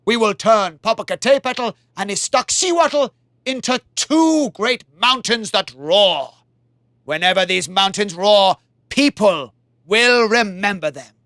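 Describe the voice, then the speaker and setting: Mighty ancient gods making a solemn decree, as a woman storyteller voices them. They speak slowly, with wide swings in pitch, and the recording is dry. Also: lively intonation, a bit bright, dry recording.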